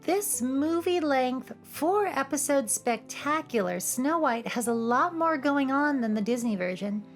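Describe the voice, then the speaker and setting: A woman's voice: sits high, lively intonation, measured pace. A woman giving scripted, humorous commentary as a video essay host, with playful, cheeky asides. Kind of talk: scripted, humorous commentary over cartoon clips. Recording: music behind the voice. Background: background music.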